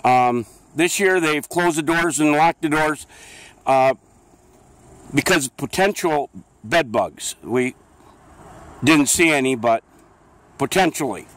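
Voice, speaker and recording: A man's voice: fairly high pitch, slow pace, long pauses, fairly bright tone. Friendly man interviewing on the street. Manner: friendly, rambling with restarts. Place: outdoors on a town street.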